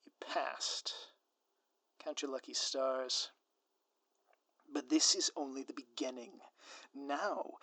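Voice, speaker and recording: A man playing a scheming, theatrical supervillain in a scripted ASMR audio roleplay, with a pompous, florid, drawn-out delivery. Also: a little high, pushed and bright, breathy edge, no room sound, exaggerated voice. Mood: smug, menacing. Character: theatrical scheming male supervillain, gloating, menacing, easily flustered.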